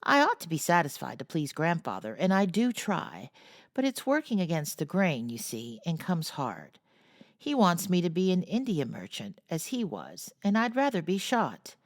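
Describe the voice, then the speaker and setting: A woman voicing a restless, impatient teenage boy in a classic storybook, lively and emphatic, lifting her voice above her narration. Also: fairly low pitch, moderate pace, dry recording, clean studio sound, pitched above the performer's own voice. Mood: frustrated.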